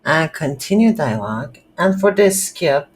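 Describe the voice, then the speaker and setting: A tutorial instructor thinking aloud in a halting, unscripted way while walking through a screen-recorded coding lesson. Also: mid-range voice, very animated. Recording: light background noise.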